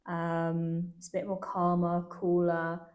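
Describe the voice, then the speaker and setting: Young English woman, low-pitched, relaxed chatty guest. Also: slow, muffled recording. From England. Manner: chatty, laughs easily, many fillers. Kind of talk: casual interview chat.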